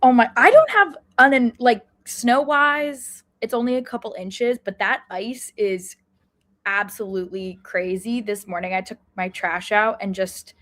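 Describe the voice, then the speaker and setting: A woman's voice: fairly high pitch, measured pace, fairly bright tone. A woman co-hosting a sports podcast, chatting casually in a conversational, articulate way. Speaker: podcast co-host.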